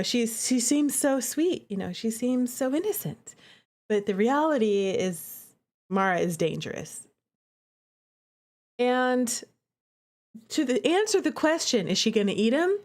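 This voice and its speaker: A woman streamer and game developer giving casual live commentary, chatty and expressive, often repeating herself for emphasis. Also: mid-range voice.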